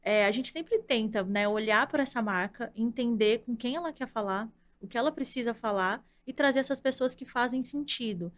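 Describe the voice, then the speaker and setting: Thoughtful woman guest, slightly high voice. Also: moderate pace. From Brazil, from the countryside. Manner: thoughtful, explanatory, frequent "né?". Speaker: content director, guest. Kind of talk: casual interview conversation.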